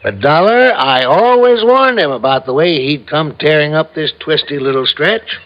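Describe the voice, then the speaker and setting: An American actor playing a talkative small-town police sergeant in a classic radio crime drama, telling things in a colloquial, emphatic, rambling way. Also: male voice, on the high side, lively intonation, normal speed, lightly acted. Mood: folksy explaining. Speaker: supporting character actor. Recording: muffled old radio recording.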